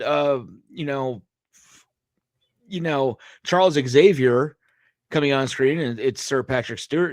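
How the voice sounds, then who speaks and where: A man's voice: on the high side, no echo, studio quality. A man co-hosting a podcast, speculating casually like a fan, often doubling back on phrases and swearing as he goes. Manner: halting, repeats phrases, profane. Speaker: podcast co-host.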